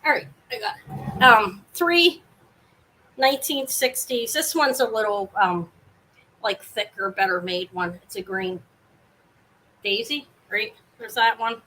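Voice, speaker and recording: Brief: woman, live-sale host, loud carrying voice, rambly and self-interrupting, home setup. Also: a little high, takes it slowly, pauses often, rough recording. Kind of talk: casual live sale chat. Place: home apartment, fan running.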